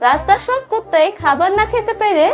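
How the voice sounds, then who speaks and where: A woman plays an exasperated Bangladeshi woman from a comedy cartoon. Her voice is extremely high-pitched, with a sarcastic, scolding manner. Music is clearly heard behind her. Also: lively intonation, fairly quick. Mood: mocking. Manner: scolding, mocking.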